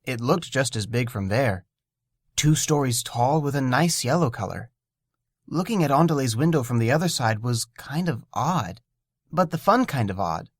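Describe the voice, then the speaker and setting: A man narrating a scripted story in a gentle, wondering way. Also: mid-range voice, lively intonation, normal speed, no room sound, clean studio sound.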